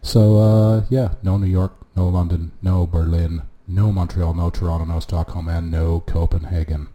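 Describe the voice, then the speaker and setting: A man hosting a live radio music show, talking in a relaxed, unhurried way with frequent "uh" pauses as he introduces his set. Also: low voice. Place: at home, street noise coming in.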